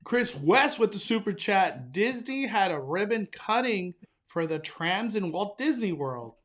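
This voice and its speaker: A young man hosting a casual live stream, excitable and enthusiastic, often stumbling and restarting his sentences. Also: high-pitched, measured pace. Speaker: livestream host.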